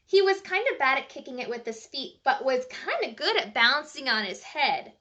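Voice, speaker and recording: A woman reading a bedtime story aloud to children in an animated, chatty way, pausing to ask the listeners questions. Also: high voice, muffled recording.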